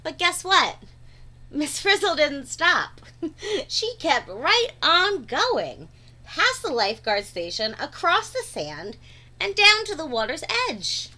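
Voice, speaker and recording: Bright, animated woman reading aloud. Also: high-pitched. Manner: animated, dramatic emphasis with drawn-out words. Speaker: teacher reading aloud to children. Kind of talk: expressive storybook read-aloud.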